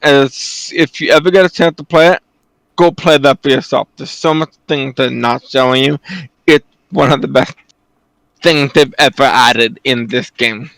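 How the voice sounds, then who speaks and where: A man's voice: medium pitch, normal speed, vocal fry, fairly bright tone, no echo, poor audio. A man giving casual gaming commentary as a reacting YouTuber.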